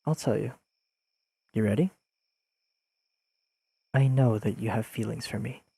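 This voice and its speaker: A man playing an earnest, awkwardly analytical young best friend in an intimate audio roleplay, speaking haltingly and carefully to the listener. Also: on the low side, vocal fry, slightly rough, no room sound, exaggerated voice. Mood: gently certain. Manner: halting, careful, softly pleading, slightly formal wording.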